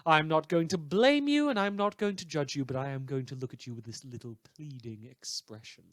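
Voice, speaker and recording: A man playing a wry, world-weary British radio newsreader in a scripted fictional broadcast, theatrical and droll with dramatic pauses. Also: a little high, a bit bright, dry recording, clean studio sound, close to an everyday voice. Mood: droll, exasperated. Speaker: podcast performer playing a broadcast host.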